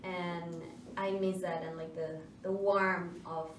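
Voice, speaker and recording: Young woman from Mexico, cast member in a Q&A; unhurried, laughing, distant echoey stage recording. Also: on the low side, little pitch movement. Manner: unhurried, laughing, searching for words. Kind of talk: post-show Q&A answer. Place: theater stage. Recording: echoey noisy room from far off.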